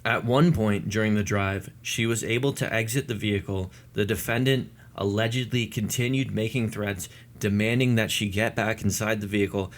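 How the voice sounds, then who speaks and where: A man's voice: fairly low pitch, steady tone, moderate pace. A man hosting a news commentary video, explaining developments to his viewers. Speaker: video host. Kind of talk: news commentary to viewers, part read statement.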